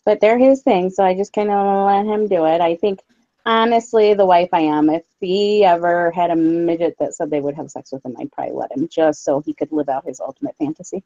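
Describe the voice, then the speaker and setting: A woman's voice: lowish voice, fairly quick, warm tone, dry recording, lo-fi sound. A woman with an Upper Midwest American accent, co-hosting a livestream in candid, sweary casual chat. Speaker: co-host of a livestream.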